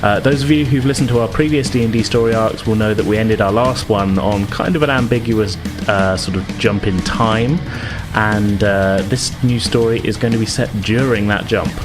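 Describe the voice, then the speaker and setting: A man's voice: fairly low pitch, normal speed, music in the background. A man hosting a tabletop gaming podcast as game master, talking in an informal, conversational way. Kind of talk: casual podcast intro, recap and game narration.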